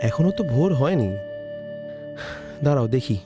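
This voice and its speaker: An actor playing a calm young husband in an audio drama, gently reassuring his wife. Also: mid-range voice, moderate pace, music in the background, natural voice.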